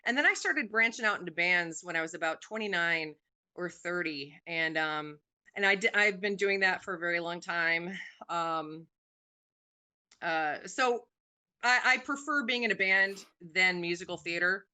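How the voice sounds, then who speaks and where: A woman's voice: on the low side, moderate pace, smooth. A woman in her forties, a singer guest on a podcast, chatting casually with lots of "um"s. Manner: chatty, warm about her music, many "um"s.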